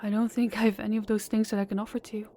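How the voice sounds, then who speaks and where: A woman's voice: mid-range voice, level tone, racing, warm tone, a little hiss or hum, close to an everyday voice. A woman voicing a polite, desperate young woman pleading with a crime boss in an audio roleplay, speaking formally and deferentially. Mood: uneasy. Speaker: voice actor and channel host.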